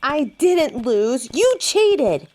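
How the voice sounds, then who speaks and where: Actress as feisty young werewolf woman, slow-paced, soft dark tone, stubborn, audio drama. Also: sits high, very animated, full character voice. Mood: annoyed, protesting. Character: young female beta werewolf, hot-headed, stubborn, feisty. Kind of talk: audio roleplay drama acting.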